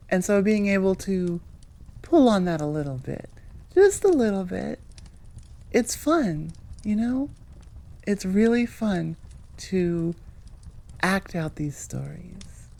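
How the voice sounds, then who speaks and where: A woman's voice: medium pitch, big pitch swings, slow, gravelly, long pauses, a little mellow. Chatty, enthusiastic woman rambling to listeners. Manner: enthusiastic, rambling, self-interrupting. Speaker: audio roleplay creator and host. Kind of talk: casual chatty outro to listeners.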